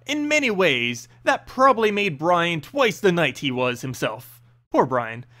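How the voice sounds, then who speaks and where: A man narrating a fantasy story in an expressive, dramatic storyteller's manner. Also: high-pitched. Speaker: narrator reading game lore.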